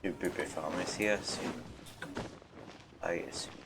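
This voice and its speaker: A man streaming a game, making casual comments over loud game music. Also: sits low, steady tone, normal speed.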